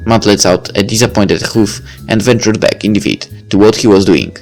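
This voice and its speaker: A man's voice: lowish voice, normal speed, darkish tone, faint music behind. A young man reading a visual novel aloud, playfully breaking off to joke and comment. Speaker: let's-play reader.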